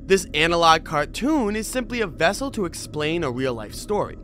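Higher-voiced man, lively scripted commentary. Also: normal speed, a little hiss or hum. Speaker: host of a horror video review channel. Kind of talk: scripted commentary with casual asides.

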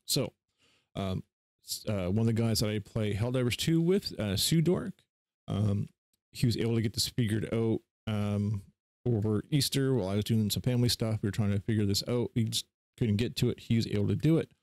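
A man's voice: on the low side, a little fast, darkish tone, no room sound. Dry-sounding man giving casual tech instructions. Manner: informal, instructional, step by step. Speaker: tutorial host. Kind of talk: casual unscripted screen-share software walkthrough.

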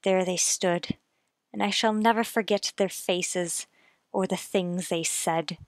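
A woman's voice: fairly low pitch, steady tone, slow pace, no echo. A woman playing a frightened, haunted genteel heroine in an old-time radio suspense play, with an earnest, dramatic delivery. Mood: haunted, grave.

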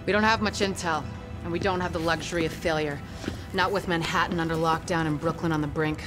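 A woman's voice: low-pitched, fairly even intonation, a little fast, music in the background, lightly acted. A woman playing a determined young government field agent in a video game, earnest and businesslike. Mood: resolute, businesslike. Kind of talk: video game cutscene acting.

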